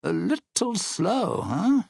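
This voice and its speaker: An actor playing a smug, suspicious old lounge singer and thief in a video game, with a raspy, gravelly voice and a sardonic showman's delivery. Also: high voice, sing-song, takes it slowly, a little mellow, dry recording, clean studio sound, exaggerated voice. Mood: wary, mocking. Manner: sardonic, slangy showman's patter. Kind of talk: in-game character dialogue and epilogue narration.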